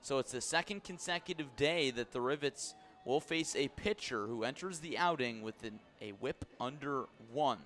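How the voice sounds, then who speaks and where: A man hosting a baseball radio pregame show, with a polished, measured announcer's delivery. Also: mid-range voice, fairly bright tone. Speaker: baseball radio play-by-play broadcaster. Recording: background ambient noise.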